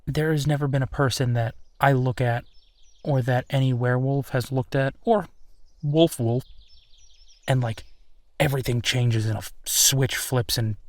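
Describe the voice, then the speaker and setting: A man playing an easygoing, affectionate werewolf boyfriend in an intimate audio roleplay, speaking earnestly and haltingly. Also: mid-range voice, fairly even intonation, dry recording, close to an everyday voice. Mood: patient, explaining. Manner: hesitant, chuckling, earnest, halting phrasing. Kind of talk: intimate boyfriend roleplay, naturalistic one-sided conversation.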